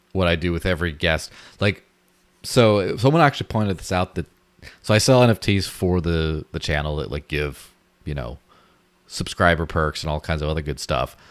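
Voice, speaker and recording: Deep voiced guy hosting a chat show, rambly, cracks jokes. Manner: joking, rambling, laughs at own jokes. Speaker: podcast host. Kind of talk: casual chat.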